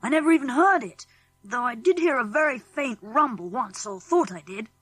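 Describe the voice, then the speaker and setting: A woman's voice: high voice, expressive, normal speed, fairly bright tone, no room sound, rough recording. A woman voicing an eager, plucky English schoolboy in a children's audiobook, boyish and earnest, with a British accent. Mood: puzzled, excited. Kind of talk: children's audiobook character acting.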